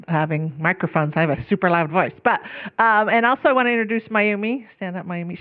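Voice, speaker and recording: A woman pre-law advisor giving a chatty informational talk to students over a microphone. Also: on the high side. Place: lecture room, on microphone. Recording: phone-like thin room recording.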